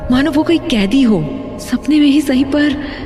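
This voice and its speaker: A woman playing an uneasy, hesitant young woman haunted by a nightmare in an animated horror story. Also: high voice, a little slow. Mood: frightened. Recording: long hall reverb, music behind.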